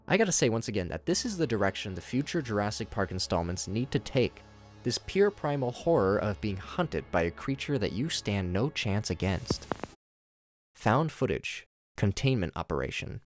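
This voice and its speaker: A man's voice: lowish voice, very animated, normal speed, soft background music. A man giving a scripted narration that recaps horror footage in a casual, wry way. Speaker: narrator of a video commentary.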